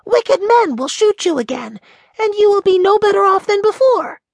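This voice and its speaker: Popopo, stern bird guardian. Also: male voice, very high-pitched, very animated, moderate pace, gravelly, dry recording, voice pushed up, heavily acted. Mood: earnest. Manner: stern, earnest pleading. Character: Popopo, a magical male sprite guardian of birds.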